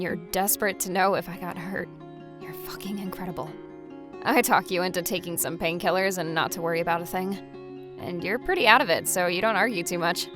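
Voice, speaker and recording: A woman playing a defiant young punk who tells a fugitive road story in a slangy, conversational way, in an animated fan drama. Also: fairly low pitch, close to an everyday voice. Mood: sardonic. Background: background music.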